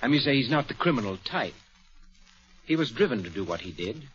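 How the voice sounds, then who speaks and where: An actor playing a nervous valet who is secretly a thief, stammering and defensive, in 1940s radio acting. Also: male voice, mid-range voice, very animated, brisk, a bit bright. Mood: flustered. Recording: dull old radio broadcast.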